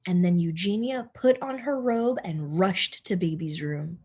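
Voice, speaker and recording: A woman reading a children's story aloud as a teacher, deliberate and expressive for young listeners. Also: fairly low pitch, husky. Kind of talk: storybook read-aloud narration.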